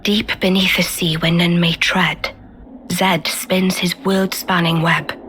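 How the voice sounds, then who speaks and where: An actress playing a wise, dignified queen in a game cutscene, speaking formally and cryptically with breathy line endings. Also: fairly low pitch, flat delivery, takes it slowly, a bit bright, a little hiss or hum. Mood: solemn, cryptic. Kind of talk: video game cutscene acting.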